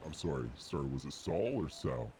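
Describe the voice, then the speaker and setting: A man voicing a menacing male robber with a very deep put-on voice, negotiating slowly and deliberately. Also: animated, dark tone, slightly rough, exaggerated voice. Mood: calm menace. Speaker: roleplayer. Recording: noisy game audio behind.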